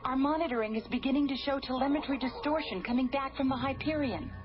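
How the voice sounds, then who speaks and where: A woman's voice: sits high, fast talker, natural voice. An actress playing a dutiful female lab analyst in a radio drama, reporting technical findings formally. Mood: dutiful, concerned. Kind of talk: science fiction radio drama acting. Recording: dull old radio recording.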